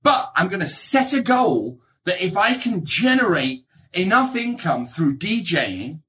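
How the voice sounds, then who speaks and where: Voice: medium pitch, pushed and bright. A middle-aged speaker from England giving a motivational talk to an audience in an emphatic, conversational way, often checking in with short rhetorical tags. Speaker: motivational speaker, real estate agent.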